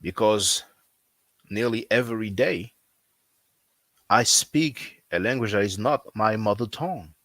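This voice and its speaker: A man who is not a native English speaker, talking casually as a language teacher, repeating himself often and filling pauses with "you know.". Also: fairly low pitch, expressive, fairly bright tone, no echo. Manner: repetitive, conversational, frequent fillers. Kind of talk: casual promotional talk.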